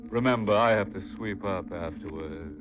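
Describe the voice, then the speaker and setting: An actor playing a slyly jovial, macabre horror host on 1950s radio, theatrical and mock-courteous in manner. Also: male voice, on the low side, normal speed, noisy background, natural voice. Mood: macabre glee. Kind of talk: 1950s radio mystery hosting and narration. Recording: muffled 1950s radio recording with music.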